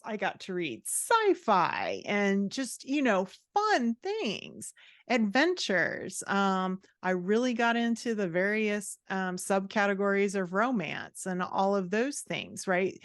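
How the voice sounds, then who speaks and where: A woman's voice: mid-range voice, very animated, slow, dry recording, clean studio sound. A woman guest talking casually and expressively in an interview, giving her opinions in informal language. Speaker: podcast guest, fantasy author and writing coach.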